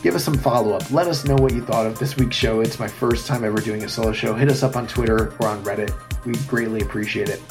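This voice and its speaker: A hoarse man talks through a wrestling recap on his podcast, casual and full of fillers. He is plainly excited about the match. Faint music sits behind his voice. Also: mid-range voice, fast talker, hardly any pauses. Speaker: podcast host.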